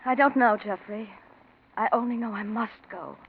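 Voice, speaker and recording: Old narrow-band radio play, earnest english wife, stubborn and wistful, voice a bit high. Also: female voice, moderate pace, slightly rough. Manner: earnest, resolute. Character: determined, wistful English wife of missing husband. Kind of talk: 1940s radio acting. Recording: muffled, narrow 1940s radio recording.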